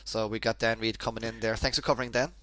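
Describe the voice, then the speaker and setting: A man's voice: lowish voice, little pitch movement, fast, sharp tone, dry recording. A man hosting a casual podcast, chatty and rambling with many restarts. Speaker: podcast host.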